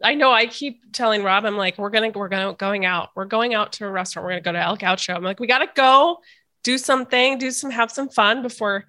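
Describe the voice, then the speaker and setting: A woman's voice: mid-range voice, quick pace, sharp tone. A woman hosting a casual interview podcast, chatty and hesitant with many fillers and restarts, a little stuffy from a cold. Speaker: podcast host.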